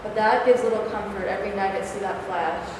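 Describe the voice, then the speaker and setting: A woman's voice: mid-range voice, fairly even intonation, fairly quick, a loud crowd, close to an everyday voice. A woman in a staged reading, playing a scarred bomb survivor who recites simple verse. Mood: mournful, plain. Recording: distant, very echoey noisy hall recording.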